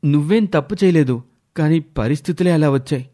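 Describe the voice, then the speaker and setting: A man's voice: fairly high pitch, dark tone, natural voice. Man playing a hesitant, meek young husband. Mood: pleading, evasive. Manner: hesitant, halting.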